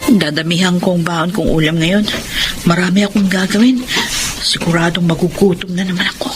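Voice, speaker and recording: A woman's voice: on the low side, steady tone, fairly quick, close to an everyday voice. A woman acting a dutiful, exasperated thirty-year-old office worker with a Filipino accent in a Tagalog radio drama. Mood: resentful.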